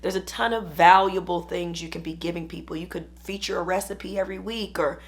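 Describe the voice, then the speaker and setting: A hoarse woman business coach, chatting upbeat to camera. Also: lowish voice, fairly even intonation, a little fast. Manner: chatty, upbeat, uses "y'all". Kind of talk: casual talk to camera.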